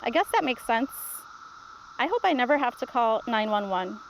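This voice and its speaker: A worried, inquisitive young child in a storybook, as read aloud by a woman. The voice is high and full of questions, and there is slight background noise. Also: very animated, moderate pace, low-quality recording. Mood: worried, curious. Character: anxious, inquisitive young grandchild starting kindergarten.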